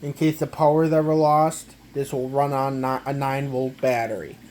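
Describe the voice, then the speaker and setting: A man gives a casual, halting, step-by-step product walkthrough, often repeating himself. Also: mid-range voice, little pitch movement, heavy background noise. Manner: halting, repetitive, step-by-step explaining. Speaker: amateur gadget demonstrator.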